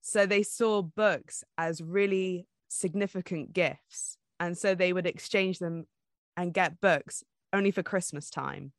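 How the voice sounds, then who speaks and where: Woman, low-pitched, dry sound, casual podcast co-host, chatty informal storyteller. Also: slow pace, no room sound. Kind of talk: casual book podcast chat.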